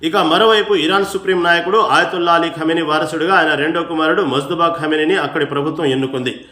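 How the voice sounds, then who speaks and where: A man with a somewhat high voice delivers a scripted news report. His delivery is formal and emphatic, like a voice-over for a bulletin. The sound is clearly roomy and echoing. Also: fairly even intonation, quick pace, pushed and bright, soft background music. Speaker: news narrator.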